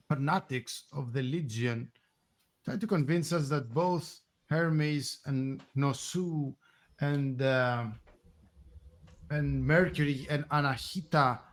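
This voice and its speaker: A man playing in a tabletop game, speaking in character with a halting, playful delivery. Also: on the high side.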